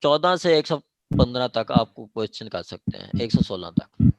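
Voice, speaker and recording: Male law lecturer, Pakistani accent, medium pitch, explanatory with regular check-ins, dry close sound. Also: sing-song, moderate pace, no echo, rough recording. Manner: explanatory, with frequent check-in tags and examples. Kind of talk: online law lecture, mixing Urdu with English legal terms.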